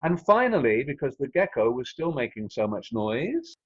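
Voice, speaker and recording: A man's voice: mid-range voice, sing-song, normal speed, lo-fi sound, a crowd in the background. A man, a storyteller introducing and narrating a folk tale. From Singapore.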